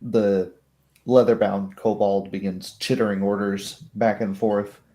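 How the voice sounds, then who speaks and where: Male game master, slow halting narration, fairly low pitch, improvised tabletop storytelling. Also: level tone, mellow. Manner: halting, descriptive.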